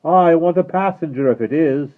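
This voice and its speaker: A man voicing a hoarse rider who calls out slowly from a distance, on a tinny phone-like recording. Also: mid-range voice, lively intonation, voice pushed up, close to an everyday voice. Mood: calling out. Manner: slow, drawn-out calling. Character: hoarse mounted messenger man, shouting from afar.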